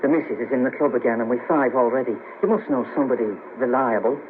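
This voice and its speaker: A man's voice: medium pitch, fast talker, close to an everyday voice. An actor playing a blunt, hard-up, henpecked married father who moans in colloquial speech, in a 1970s radio play. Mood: blunt, fed up. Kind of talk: 1970s radio drama acting. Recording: very noisy, tinny 1970s radio cassette.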